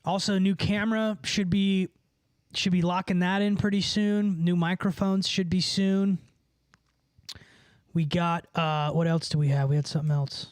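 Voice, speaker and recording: A man's voice: high-pitched, flat delivery, normal speed, smooth, dry recording. A man livestreaming, chatting casually with viewers in a rambling, profane, slangy way. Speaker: livestreamer and musician.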